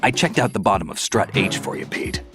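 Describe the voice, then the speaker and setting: A man acts as a hardened, pragmatic soldier in a video game. His lowish voice is gruff, blunt and unruffled. Loud music plays behind him. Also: expressive, brisk, lightly acted. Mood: cool, blunt. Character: seasoned, pragmatic male soldier, cool and gruff.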